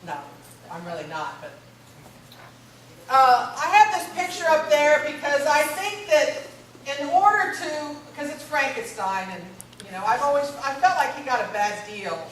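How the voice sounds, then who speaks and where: A woman's voice: high-pitched, expressive, a little slow, a bit bright. Chatty woman lecturing in an echoey hall. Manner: strong voice, loose and chatty with many fillers. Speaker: gerontology lecturer. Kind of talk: informal symposium presentation. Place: large echoey college hall. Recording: very echoey hall, distant mic, faint noise.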